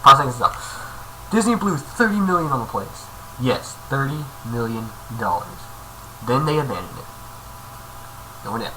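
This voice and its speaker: A man's voice: mid-range voice, lively intonation, normal speed, long pauses, pushed and bright. A man casually reading a horror story aloud, stumbling over words and adding jokey asides. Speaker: creepypasta reader, video host. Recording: noisy amateur home recording.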